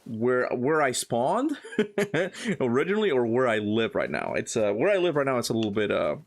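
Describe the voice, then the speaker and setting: A man's voice: mid-range voice, very animated, normal speed. A man born in Cuba, streaming a video game and chatting casually with his viewers as he plays, often laughing. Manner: chatty, reads chat aloud, laughs often. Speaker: gaming streamer. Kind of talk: casual livestream chat while playing.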